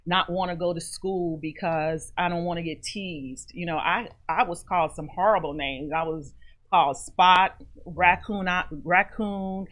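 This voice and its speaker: A woman's voice: on the low side, measured pace, a bit bright. A woman guest on a radio interview, telling her personal story in an earnest, heartfelt way. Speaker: guest, author and foundation founder.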